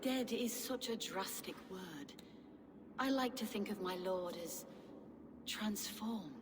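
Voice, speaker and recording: A devoted medieval sister who tends the sick. She sounds courteous, measured and faintly eerie, mid-pitched, in a long reverb. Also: animated, background noise. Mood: dreamily reverent. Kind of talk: dramatic video game acting.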